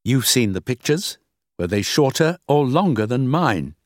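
A man's voice: mid-range voice, big pitch swings, unhurried, dry recording, studio quality, pitched above the performer's own voice. A man voicing an earnest, determined young woman employee in an audiobook, plain and insistent. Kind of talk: audiobook character acting.

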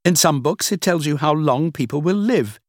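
A man's voice: a little high, expressive, normal speed, dry recording, clean studio sound, pitched above the performer's own voice, natural voice. A man voicing a curious, earnest young woman researcher in an audiobook reading. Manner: polite, earnest, questioning.

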